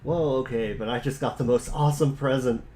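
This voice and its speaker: A man's voice: mid-range voice, fairly quick, mellow, slight background noise. A man co-reading an amateur webcomic dramatic reading, giving commands and character lines. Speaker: co-reader.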